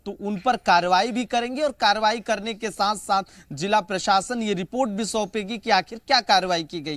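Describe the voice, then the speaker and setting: Male field correspondent, high-pitched, dry sound, emphatic and repetitive live reporting. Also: fairly bright tone, no room sound. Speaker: news correspondent. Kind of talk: unscripted live news report.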